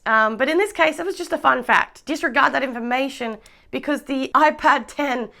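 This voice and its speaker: Australian woman tech reviewer, slightly raspy from a cold, upbeat casual vlog delivery. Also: high-pitched, moderate pace, bright, forceful tone. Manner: chatty, enthusiastic, laughs often.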